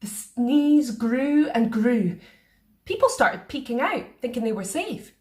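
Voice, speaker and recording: A woman reading a children's picture book aloud in a playful, expressive way. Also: a little high, slow pace. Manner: expressive, sing-song. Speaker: storyteller.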